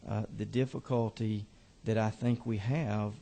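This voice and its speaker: A man, a state representative, giving halting, earnest remarks to a committee on his bill. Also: fairly low pitch, steady tone, creaky, dark tone. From Georgia. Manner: earnest, halting with many fillers.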